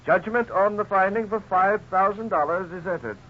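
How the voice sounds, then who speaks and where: An actor playing a stern judge, formal and measured, in a 1950s radio drama. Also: male voice, high-pitched, heavy background noise, natural voice. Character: stern, formal male judge delivering a ruling. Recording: dull 1950s radio recording.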